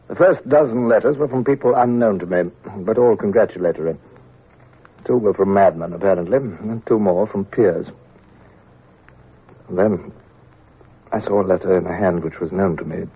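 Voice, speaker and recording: Brief: introspective British sea captain, very deep voice, tinny old radio sound. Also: male voice, slightly rough. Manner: introspective, measured and earnest. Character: reflective middle-aged male naval captain. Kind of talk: 1950s radio drama first-person narration.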